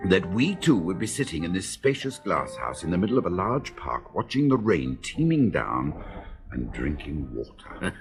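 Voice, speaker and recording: An actor playing a grumbling, sardonic middle-aged writer at a health spa in a radio drama. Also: male voice, sits low, sing-song, normal speed, soft background music. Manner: dry, grumbling. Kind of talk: 1970s BBC radio drama acting.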